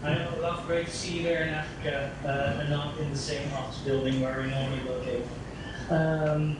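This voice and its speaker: A man with a Dutch accent giving spontaneous remarks at a conference, halting and repeating words as he goes. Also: level tone. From Netherlands. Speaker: audience commenter, internet society director. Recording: echoey, distant, noisy conference link with rumble.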